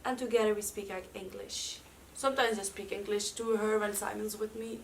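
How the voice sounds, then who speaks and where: A woman's voice: medium pitch, steady tone, moderate pace. A young woman with a Dutch accent, chatting casually at home in a vlog, with lots of fillers. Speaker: vlogger. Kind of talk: casual chat. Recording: slightly distant mic with faint room noise.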